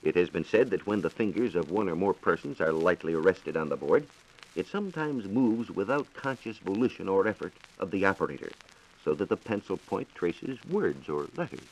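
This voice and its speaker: An American man explaining science in a measured, formal way on a 1940s radio program. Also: low-pitched, expressive, a little mellow, low-quality recording. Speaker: science reporter and radio co-host. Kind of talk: 1940s radio science talk, answering questions. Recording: 1940s radio transcription disc.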